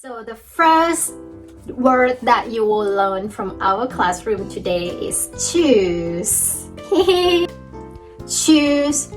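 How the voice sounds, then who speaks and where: Young woman, Thai accent, chatty and upbeat vlog teacher, soft music underneath. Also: fairly high pitch, animated, extremely slow. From Thailand. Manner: cheerful, chatty, laughs often. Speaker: language teacher vlogger. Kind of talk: casual video lesson.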